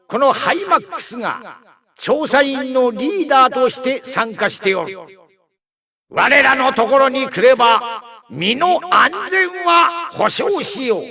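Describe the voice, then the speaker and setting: A man's voice: very high-pitched, bright, forceful tone, full character voice. A man voicing a pompous, scheming robot scientist in a theatrical video game speech. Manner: pompous, grandiose proclamation.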